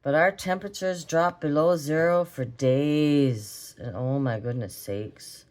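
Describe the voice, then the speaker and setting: A hoarse, raspy woman with a Minnesotan accent, chatting casually as she draws in an art tutorial vlog. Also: deep voice, unhurried, a little mellow. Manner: chatty, rambling, talks while drawing. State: hoarse from a cold. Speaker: art vlogger. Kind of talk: relaxed drawing tutorial chat.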